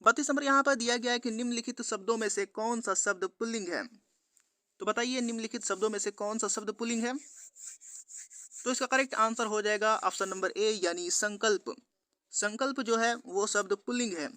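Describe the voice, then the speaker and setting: A man's voice: high-pitched, brisk, bright, forceful tone, no echo, clean studio sound. A man presenting an exam-prep quiz lesson, reading out questions and answers in an instructional, repetitive way to viewers. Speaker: exam-prep teacher on a study channel.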